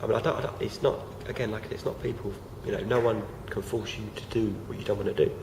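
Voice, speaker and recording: A young man, croaky from feeling unwell, talking casually to camera with many fillers and restarts. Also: fairly low pitch, steady tone, fast talker. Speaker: vlogger. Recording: noisy home recording.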